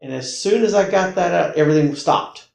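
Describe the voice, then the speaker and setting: An American man casually recounting his own experiences as a guest, in halting, emphatic phrases and short repetitions. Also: sits high, vocal fry, darkish tone. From North Carolina, United States. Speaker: podcast guest recounting personal paranormal experiences. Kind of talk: casual conversational storytelling. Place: indoor room, in-person conversation. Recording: roomy, echoey indoor recording.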